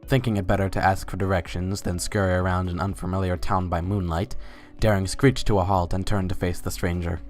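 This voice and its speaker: A man with a very low voice narrates a story in a dramatic reading. His delivery is scripted storytelling, with music playing softly underneath. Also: level tone, a little fast.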